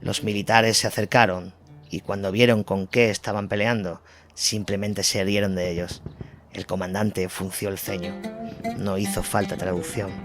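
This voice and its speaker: A low-pitched male narrator delivers a scripted war chronicle. Music plays clearly behind his voice throughout, as in an audio drama. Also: level tone, moderate pace.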